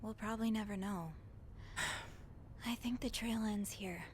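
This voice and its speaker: An actress as an inquisitive, gently playful young woman. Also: mid-range voice, measured pace, a little hiss or hum, natural voice. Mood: thoughtful. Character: inquisitive young female hotel maid, thoughtful and gently playful. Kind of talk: game voice acting.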